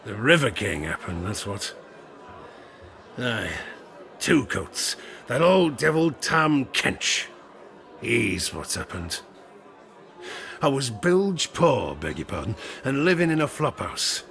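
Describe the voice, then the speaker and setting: An actor playing a rueful, ruined old harpooner and gambler with a British accent, spinning a folksy, gravelly-voiced yarn in an audio drama. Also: male voice, medium pitch, very animated, takes it slowly, long pauses, a bit bright, exaggerated voice. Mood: rueful, wry. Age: older. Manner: folksy, rustic, rambling tavern yarn-spinning. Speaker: storyteller. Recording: studio drama with music behind. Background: music.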